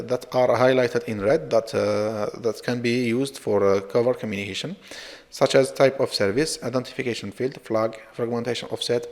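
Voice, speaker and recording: A man giving an academic slide presentation as a researcher, with halting, hesitant delivery and frequent repeats. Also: mid-range voice, creaky, darkish tone. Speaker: researcher presenting own work. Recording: reverberant, hall-like echo.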